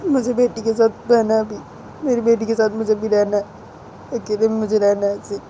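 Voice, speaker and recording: A woman's voice: fairly high pitch, dark tone, slight background noise, voice pushed up, rough recording. A woman voicing a tearful mother coaxing her daughter. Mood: tearful, pleading. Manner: coaxing, repeats soothing questions.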